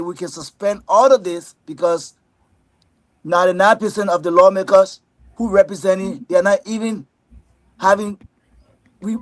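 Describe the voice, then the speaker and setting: A man with a fairly high voice, recorded close and dry, laying out his points one by one in a steady rhythm. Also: no echo. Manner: list-like, steady, repetitive phrasing. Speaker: panel guest. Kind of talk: prepared statement in a debate.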